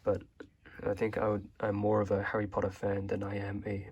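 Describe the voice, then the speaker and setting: Young male vlogger from Hong Kong, native Chinese speaker; deep, monotone, slightly husky; relaxed and hesitant. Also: brisk, highs cut off. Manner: relaxed and rambling, many fillers and hesitations. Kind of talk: casual bookshelf tour.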